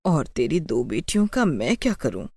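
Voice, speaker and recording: Woman putting on a low, chiding older mother. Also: big pitch swings, normal speed, creaky, warm tone, husky, no room sound, heavily acted. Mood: scolding. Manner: chiding, firm. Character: sensible, scolding older village mother. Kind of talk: dramatised Hindi moral story acting.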